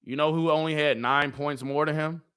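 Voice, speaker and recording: A male stream host with a hoarse, worn voice pitched a little high. He talks to his chat in loose, animated bursts, circling back to the same catchphrases again and again. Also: normal speed. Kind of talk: casual watch-party chat.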